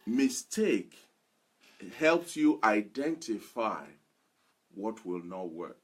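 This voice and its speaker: Husky low-voiced male motivational preacher. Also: sing-song. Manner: emphatic, deliberate repetition of phrases. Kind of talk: motivational sermon.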